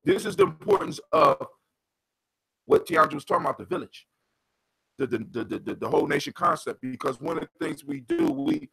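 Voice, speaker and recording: A man's voice: a little high, vocal fry, sharp tone, husky, poor audio. An American man in his forties speaking emphatically, with repetition, as he hosts an impassioned group discussion. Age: 40s. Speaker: discussion host.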